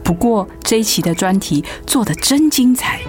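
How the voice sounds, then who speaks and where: An actress playing a breezy, supportive editor friend with a Taiwanese accent, chatty and teasing, in a radio drama. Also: female voice, medium pitch, lively intonation, normal speed, over music, close to an everyday voice. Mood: teasing, encouraging. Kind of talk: Mandarin radio drama acting.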